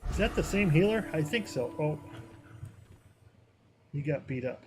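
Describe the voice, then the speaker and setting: A man chatting casually while live-streaming a game, rambling with many fillers, with a somewhat high, harsh voice. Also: expressive, a little slow, noisy background. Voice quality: higher, harsher voice.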